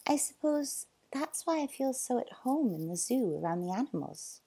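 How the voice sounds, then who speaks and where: A sweet, shy young boy, as a woman narrator puts him on, pitched well above her usual voice in a storybook reading. The voice stays soft and a little husky, with a lively, bouncing lilt. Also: moderate pace, exaggerated voice. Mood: wistful.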